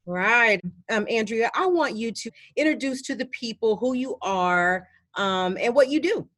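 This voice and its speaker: A woman hosting an interview in an effusive, chatty way with many pauses and fillers. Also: a little high, expressive, a bit bright, dry recording, studio quality. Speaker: host. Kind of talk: warm upbeat interview chat.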